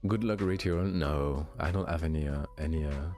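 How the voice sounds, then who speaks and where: A young man with a light French accent, a streamer chatting casually with viewers, relaxed and hesitant with many fillers and restarts. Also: very deep voice, normal speed, faint music behind. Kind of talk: casual chat answering viewers.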